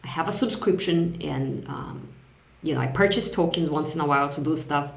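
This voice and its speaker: A woman from Brazil with a Brazilian accent and Portuguese as her first language, presenting a casual step-by-step software tutorial in a conversational, explanatory way, often checking in with the viewer. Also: fairly low pitch, roomy sound.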